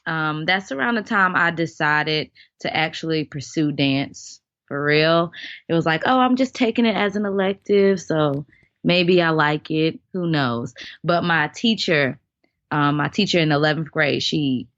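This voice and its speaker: A woman in her thirties, a dance guest on a podcast, telling her stories in an earnest, animated way. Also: low voice, measured pace, no room sound, dull audio. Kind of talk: casual interview storytelling.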